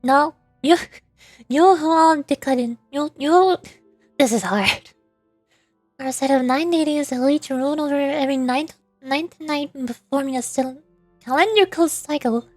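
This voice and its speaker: A woman with a high-pitched voice chats casually as she streams a game. She reads things aloud and stumbles over difficult words. Soft music plays behind her. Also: unhurried, no echo. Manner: chatty, stumbles over hard names, reading chat aloud. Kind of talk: casual livestream chat while gaming.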